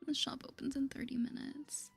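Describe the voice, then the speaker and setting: A woman whispering soft-spoken ASMR commentary over a video game, chatty and gentle, reading dialogue aloud in a breathy voice. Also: sits high, level tone, normal speed. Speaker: gaming video host. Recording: soft music behind the voice.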